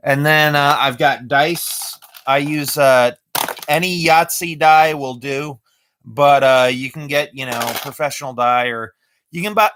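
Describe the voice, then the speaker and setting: A man's voice: medium pitch, a bit bright, slight background noise. A man chatting casually on a live stream, explaining his magic tricks step by step. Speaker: amateur magician.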